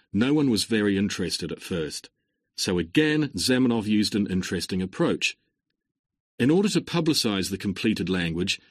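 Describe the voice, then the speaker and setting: A man giving a lecture for a listening exam, with clear, measured delivery that lifts on linking words. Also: lowish voice, no echo, clean studio sound. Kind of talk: scripted exam-recording lecture.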